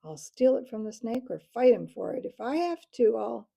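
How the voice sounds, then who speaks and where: A woman voicing a brave, stubborn young male mouse who shouts his challenges in a storybook reading. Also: on the high side, very animated, unhurried, vocal fry, husky, pitched above the performer's own voice, exaggerated voice. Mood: defiant. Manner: earnest, defiant, shouting challenges.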